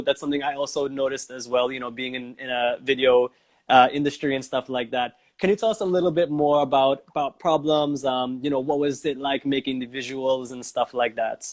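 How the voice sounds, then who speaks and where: Encouraging male host with mid-pitched voice. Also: a little mellow. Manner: encouraging, affirming. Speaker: talk show host. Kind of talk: casual interview.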